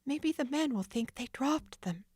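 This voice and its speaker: A woman's voice: high-pitched, lively intonation, unhurried, a little mellow, slightly rough, no echo, clean studio sound, voice pushed up, full character voice. A woman voicing an anxious but plucky young female sleuth, with breathless, worried exclamations.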